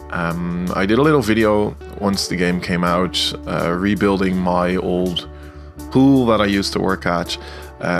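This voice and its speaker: A man's voice: sits low, a bit bright. A young Dutch man with a Dutch accent, talking casually over his gameplay video, hesitant and full of filler sounds. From Netherlands. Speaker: video game creator. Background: faint guitar music.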